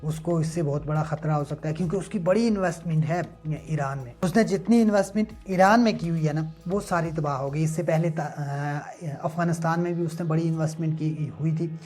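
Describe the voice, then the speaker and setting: A man's voice: on the high side, a little fast, few pauses, darkish tone. A man gives political news analysis. His delivery is emphatic and rhetorical, partly read and partly off the cuff. Music plays behind him. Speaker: online news commentator. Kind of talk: news analysis commentary, part scripted, part casual asides.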